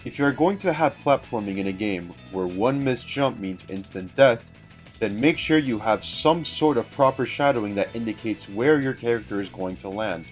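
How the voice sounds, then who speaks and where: A man's voice: mid-range voice, animated, moderate pace. Man reading a review over guitar music. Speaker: guest reader of a written review. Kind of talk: reading a written review aloud. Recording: music behind the voice.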